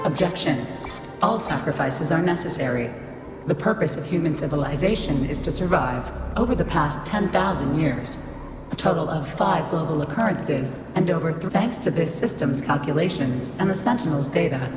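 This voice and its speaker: Echoing, low-voiced cold female computer. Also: lively intonation, normal speed. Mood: cold, formal. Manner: formal, clipped, emotionless machine delivery. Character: cold, imperious female supercomputer system, rigidly logical. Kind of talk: video game cutscene voice acting. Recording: echoey long reverb under clear music.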